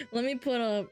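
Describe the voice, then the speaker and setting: Nasal woman streamer, slightly high. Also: fairly bright tone, slightly rough. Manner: playful, teasing banter with frequent laughs. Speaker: livestreamer. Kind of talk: casual chat reading viewer comments.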